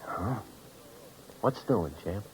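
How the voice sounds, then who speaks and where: A man's voice: fairly low pitch, lively intonation, very slow. An actor playing a surly, laconic tough guy in a 1950s radio crime drama. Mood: surly, cool. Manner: laconic, unhelpful. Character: surly, terse out-of-town male tough tailing someone. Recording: noisy old 1950s radio recording.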